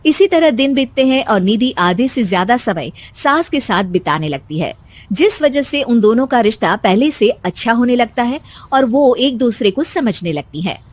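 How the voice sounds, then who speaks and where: A woman's voice: a little high, expressive, normal speed, dry recording. A woman reading scripted narration for a cartoon family story.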